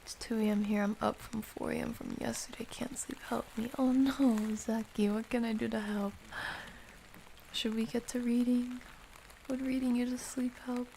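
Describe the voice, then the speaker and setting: A woman hosting an ASMR livestream, chatting casually and softly with viewers in a playful, affectionate, unhurried way, sometimes close to a whisper. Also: a little high, steady tone, gravelly, slight background noise, rough recording. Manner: soft-spoken, unhurried, drifting into whispers.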